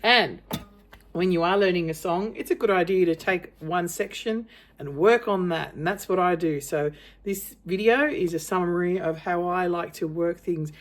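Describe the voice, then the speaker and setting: A woman with a low voice giving an informal, encouraging beginner lesson as a guitar and singing teacher. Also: moderate pace. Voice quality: low female voice. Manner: encouraging, reassuring. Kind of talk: informal instructional demonstration for a beginner. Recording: acoustic guitar sounding alongside the voice. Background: acoustic guitar being strummed.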